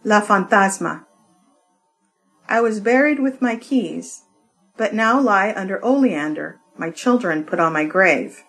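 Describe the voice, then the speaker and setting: Slow-paced woman reading poetry. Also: mid-range voice, expressive, a bit bright, faint music behind, poor audio. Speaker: poetry reader.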